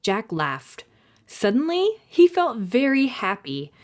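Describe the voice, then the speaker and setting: A woman's voice: on the high side, big pitch swings, slow. A woman hosting a children's storytime, narrating expressively and addressing young listeners directly. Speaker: library storytime host and reader.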